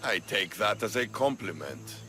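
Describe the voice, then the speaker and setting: An actor playing a proud, bold Spartan soldier eager for battle in a video game. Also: male voice, fairly low pitch, lively intonation, moderate pace, sharp tone, faint music behind. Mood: taunting, amused. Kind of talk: video game cutscene acting.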